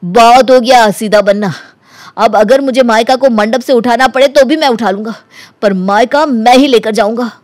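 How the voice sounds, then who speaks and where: A woman voicing an earnest, wronged young man who talks reproachfully in an animated story. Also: fairly high pitch, moderate pace, dry recording, close to an everyday voice. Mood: defiant. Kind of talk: Hindi animated story dialogue.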